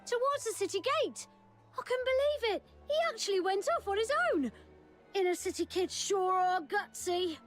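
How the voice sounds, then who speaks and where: A woman's voice: extremely high pitch, normal speed. A woman voicing a mischievous, brash young street kid in a video game, with a childlike voice. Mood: cheeky, chatty. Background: background music.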